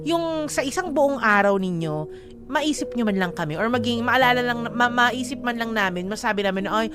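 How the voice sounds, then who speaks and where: A Filipino woman co-hosting a love-advice talk show, chatting casually in an expressive, playful, emphatic and slangy way. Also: on the high side, moderate pace. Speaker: radio show co-host giving love advice. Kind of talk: casual bantering talk, Tagalog-English mix.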